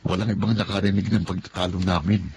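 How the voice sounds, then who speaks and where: An actor playing a frustrated, blunt husband of thirty in a Filipino radio drama. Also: male voice, sits low, little pitch movement, fast, darkish tone, a little hiss or hum. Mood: dejected, confiding. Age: 30s.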